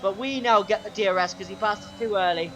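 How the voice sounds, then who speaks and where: High-voiced man with a sore throat. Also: quick pace, slight background noise. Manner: excitable play-by-play, pitch jumps when overtaking. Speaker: gaming channel host. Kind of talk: racing game commentary.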